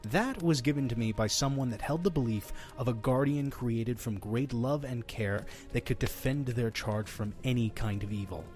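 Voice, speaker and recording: A man's voice: mid-range voice, moderate pace, few pauses, a little mellow, soft background music, close to an everyday voice. A man playing a friendly, mysterious innkeeper who tells stories in an audio drama, earnest and persuasive with a folksy touch. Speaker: innkeeper storyteller. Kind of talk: audio drama storytelling and dialogue.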